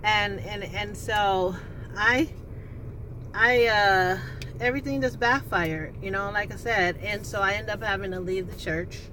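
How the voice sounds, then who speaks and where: A woman's voice: mid-range voice, unhurried. A woman with an alto voice, telling a personal story in a rambling, casual way, with many restarts and fillers. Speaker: vlogger. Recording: steady background noise with passing vehicle sounds.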